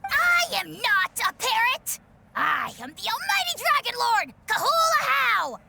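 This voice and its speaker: A woman's voice: very high-pitched, very animated, unhurried, creaky, heavy background noise, full character voice. A woman voicing a small, proud, indignant dragon creature in a video game, pompous and declamatory. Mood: indignant boasting.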